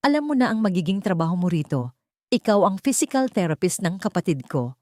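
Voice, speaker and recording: A woman's voice: fairly low pitch, no echo. Stern, emotionless rich man, acted by a woman, commanding audiobook dialogue, dry close sound. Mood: cold, stern. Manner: flat, stern, measured orders. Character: cold, emotionless, commanding wealthy male sculptor.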